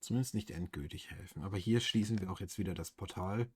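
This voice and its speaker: A German man comments casually on a video game as its host. His voice sits fairly low and has a rough, husky grain. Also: fast. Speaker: let's play host.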